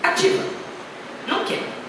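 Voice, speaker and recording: A woman giving a chatty, anecdotal talk to an audience, with self-deprecating asides. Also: normal speed. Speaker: guest speaker. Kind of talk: informal lecture. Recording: echoey hall, speaker far from the mic, noisy.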